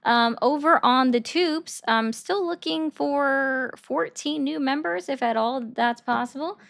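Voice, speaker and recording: A woman's voice: sits high, vocal fry, a bit bright. Blunt, mocking woman hosting a call-in livestream. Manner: blunt, argumentative, quick to mock. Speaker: livestream host taking callers.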